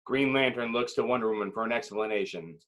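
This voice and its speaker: A man's voice: medium pitch, fast, a bit bright, a little hiss or hum. A man reading screenplay stage directions as the dramatic narrator of a table read.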